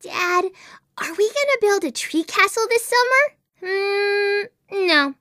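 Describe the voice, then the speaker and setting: A woman's voice: extremely high pitch, lively intonation, slow, bright, forceful tone, no echo, studio quality, heavily acted. A woman voicing an imaginative, chatty little girl in a video game, with a whimsical, earnest, childlike way of wondering aloud. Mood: curious, wondering. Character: imaginative, chatty little girl, dreamy about unicorns and princesses. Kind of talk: animated video game character voice acting.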